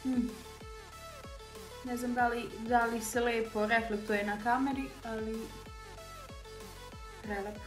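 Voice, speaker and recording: A woman's voice: a little high, steady tone, a little slow, pauses often, faint music behind. Chatty woman in an echoey room. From Serbia. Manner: chatty, many fillers, speaks to viewers directly. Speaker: beauty vlogger. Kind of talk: makeup tutorial talk to camera. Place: small indoor room with shelves.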